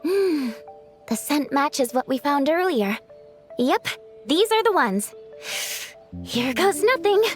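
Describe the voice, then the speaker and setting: A woman playing a clinic worker who explains things calmly in a game. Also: sits high, slow pace, long pauses, faint music behind. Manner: calm and explanatory. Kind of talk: video game voice acting.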